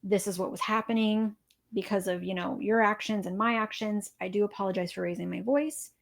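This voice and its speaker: A woman's voice: mid-range voice, brisk, gravelly. Young woman therapist on a podcast, explaining things, keeps saying right, mm-hmm. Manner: explanatory, acts out example dialogue, frequent affirmations. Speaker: therapist guest. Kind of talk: casual interview.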